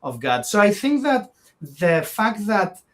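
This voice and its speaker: A man's voice: high-pitched, very animated, slow pace. A man from Greece with a Greek accent, speaking as an interview guest in long, earnest, winding sentences that often restart. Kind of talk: conversational interview.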